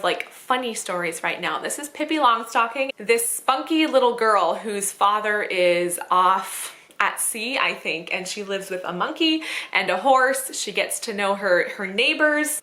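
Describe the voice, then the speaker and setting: Bright-voiced woman chatting in an echoey room. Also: medium pitch. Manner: informal, conversational, thinking aloud. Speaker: homeschooling mother and video host. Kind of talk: casual chatty book recommendation vlog. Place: indoors at home. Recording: roomy, echoing home recording.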